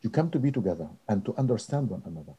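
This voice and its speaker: A man in middle age or beyond speaks in a very dark, somewhat low voice. His Middle Eastern accent and non-native phrasing come with repeated words and pauses. He answers interview questions earnestly. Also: sing-song, husky. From Nazareth, Israel. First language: not English. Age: middle-aged or older. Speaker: interview guest, director of a peace organization.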